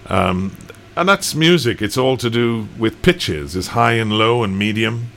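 A man's voice: fairly low pitch, lively intonation, measured pace, creaky, a bit bright, slight background noise. A Welsh man in his forties, a singer chatting as a studio guest on a radio show, self-deprecating and full of restarts. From Wales. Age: 40s. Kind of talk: casual radio interview chat.

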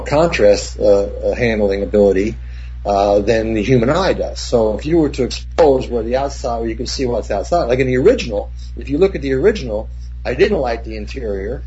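Husky older man telling film-set stories. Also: mid-range voice, normal speed, warm tone. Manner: rambling, profane, with many restarts. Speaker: cinematographer guest. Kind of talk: casual anecdote. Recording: dull lo-fi recording.

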